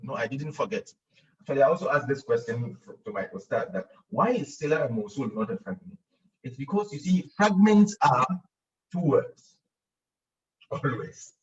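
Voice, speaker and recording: A man lectures on Arabic grammar to an online class, middle-pitched, patient and repetitive, stumbling on fillers as he explains. He sits back from his microphone, so the voice sounds a little distant. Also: fairly bright tone, lo-fi sound. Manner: explains patiently with frequent repeats and fillers, laughs at own jokes; acts out students' questions in a raised voice.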